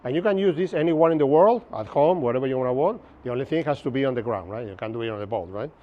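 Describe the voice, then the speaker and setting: A man's voice: mid-range voice, lively intonation, very fast, slight background noise. Man, 50s, Buenos Aires accent, Spanish native speaker, chatty instructor, open-air pavilion, slightly muffled sound. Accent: Argentine Spanish, Buenos Aires. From Argentina. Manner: chatty, jokey, keeps checking in with the audience. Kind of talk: informal workshop lecture. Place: open-sided pavilion outdoors.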